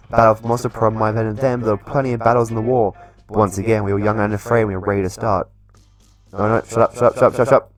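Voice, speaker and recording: A man doing casual, chatty let's play commentary in a playful way, reading game text aloud as he goes. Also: on the low side. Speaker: gaming channel host.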